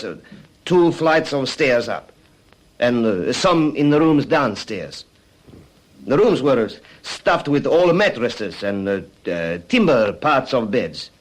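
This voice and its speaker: A cowed suspect in a classic radio crime drama. His voice is of middling pitch. He speaks in earnest, halting phrases, repeating himself as he eagerly gives up what he knows. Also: rough recording. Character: cowed, eager-to-confess male arson suspect, Italian immigrant.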